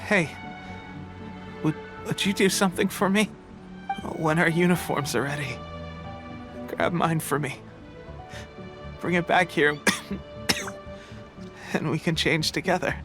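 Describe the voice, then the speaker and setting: An actor playing an ailing young soldier in a video game, weary and faltering while trying to stay light-hearted. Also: male voice, a little high. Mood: brave, fading. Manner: halting, trailing off, bravely light-hearted. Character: ailing young soldier, earnest, putting on brave cheer. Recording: music behind the voice.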